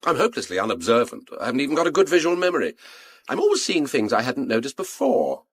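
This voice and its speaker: A prickly, hot-tempered British painter in a radio drama. His husky voice is clipped in phrasing and swings widely in pitch. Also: wildly swooping pitch, fast talker, no room sound, exaggerated voice. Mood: guarded, affable.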